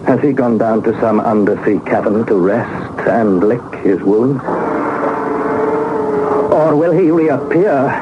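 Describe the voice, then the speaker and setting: An actor playing a young gentleman adventurer recounting peril in a suspenseful, literary 1960s British radio drama style. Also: medium pitch, slow, background noise, natural voice. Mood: grave suspense. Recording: dull 1960s radio recording, loud music behind.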